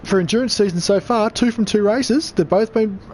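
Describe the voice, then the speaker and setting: A man commentating an online racing league, croaky from losing his voice, upbeat and chatty with viewers. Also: high-pitched, a little fast, darkish tone. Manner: upbeat, chatty, reads out chat. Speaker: race commentator. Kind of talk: live sim racing commentary.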